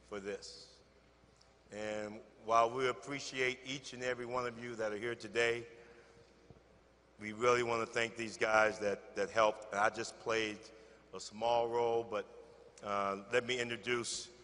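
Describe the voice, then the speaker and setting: A man with a loud voice speaking off-script at a ceremony in a gymnasium, halting with fillers. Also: medium pitch, long reverb tail, a little hiss or hum. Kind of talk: informal ceremony speech.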